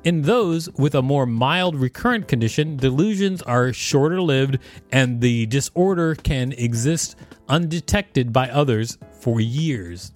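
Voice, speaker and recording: A man co-hosting a podcast, reading an encyclopedia article aloud in a plain, steady way and joking between sections. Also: medium pitch, a little slow, faint music behind. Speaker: podcast co-host and reader.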